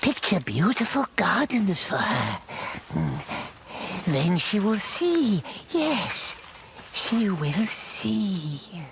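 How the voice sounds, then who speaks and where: A man playing a small, wretched, obsessive game creature with a gravelly voice, muttering erratically to himself in broken grammar. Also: high-pitched, big pitch swings, drawn-out words, background noise, full character voice. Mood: wistful, coaxing. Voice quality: creaky, gravelly. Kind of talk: video game character acting.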